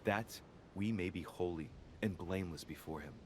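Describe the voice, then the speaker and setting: An actor playing a brooding investigator in a video game, speaking in a measured, solemn and formal way. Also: male voice, low-pitched, a little mellow, a little hiss or hum, natural voice. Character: brooding male investigator examining religious paintings and scripture. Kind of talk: scripted video game voice acting.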